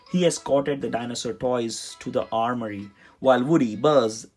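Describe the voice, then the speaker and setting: A storybook narrator reading aloud for children. The voice sits in a middle pitch with a slightly husky texture, and music plays clearly behind it. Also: darkish tone. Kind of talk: read-aloud scripted narration. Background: music under the voice.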